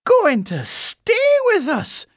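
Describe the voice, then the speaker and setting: Performer voicing a shrill, fussy older housekeeper woman. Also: sing-song, moderate pace, no room sound, studio quality, natural voice. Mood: dismayed. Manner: exclamatory, fussing, blunt. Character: stout, motherly, fierce older housekeeper woman, fussy and kindly.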